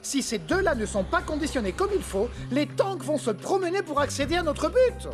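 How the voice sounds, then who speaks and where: A high, extremely lively cartoon assistant. She reports briskly and earnestly, with clear music underneath. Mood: concerned, efficient. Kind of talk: French-dubbed children's cartoon acting.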